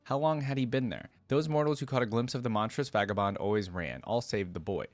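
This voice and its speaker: A man's voice: mid-range voice, a little fast, dry recording. A man reading fantasy lore aloud as the host of a reaction channel, with casual, thoughtful commentary.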